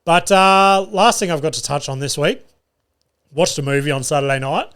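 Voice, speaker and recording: A man's voice: fairly high pitch, moderate pace, a bit bright, clear, resonant tone. A young man hosting his solo podcast, telling comedy-gig stories in a loose, sweary way and laughing at his own jokes. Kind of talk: casual solo podcast storytelling. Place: studio.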